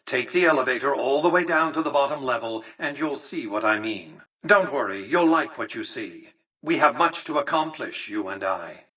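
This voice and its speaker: An actor playing an imperious, calculating old tycoon in a video game, speaking formally and precisely with pompous condescension. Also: male voice, medium pitch, bright, forceful tone, breathy edge, distant mic, full character voice, poor audio. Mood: coolly superior. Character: imperious, calculating, centuries-old male tycoon ruling a futuristic Vegas. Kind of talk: scripted video game character dialogue.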